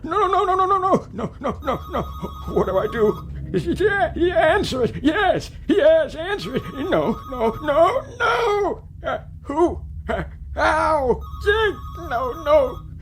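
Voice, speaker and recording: Frantic, creaky old man, high-pitched. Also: big pitch swings, takes it slowly, fairly bright tone, slight background noise, heavily acted. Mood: frantic, panicked. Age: older. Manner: agitated, stammering, muttering to himself. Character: frantic, lonely old man stranded alone on Mars. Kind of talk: radio drama acting.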